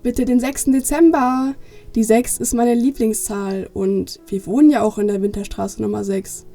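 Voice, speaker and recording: Woman as eager little girl, six, highish mellow voice, matter-of-fact, children's audio play. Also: steady tone, moderate pace, faint music behind. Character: bright, confident six-year-old girl.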